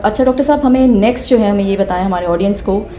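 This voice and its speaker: A woman's voice: mid-range voice, quick pace, warm tone, slight background noise. A woman hosting an interview, summing up points and politely asking the doctor questions. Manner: sums up and asks polite questions. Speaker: host. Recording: echoey room.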